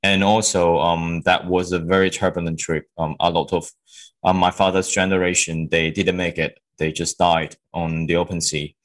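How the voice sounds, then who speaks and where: Very low-voiced man, hesitant. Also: level tone, normal speed. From Hong Kong. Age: 20s. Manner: hesitant, many ums and restarts. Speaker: guest. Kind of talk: interview answers.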